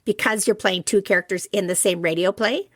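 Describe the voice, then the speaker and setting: A woman's voice: medium pitch, fairly even intonation, fairly quick, fairly bright tone, no room sound. A middle-aged woman co-hosting a podcast in casual chat, teasing and laughing easily. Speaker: co-host.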